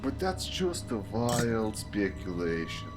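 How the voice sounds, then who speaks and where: A man playing a probing, wry diner customer in a noir audio drama, coaxing and deliberate. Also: lowish voice, sing-song, over music. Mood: brooding, ominous.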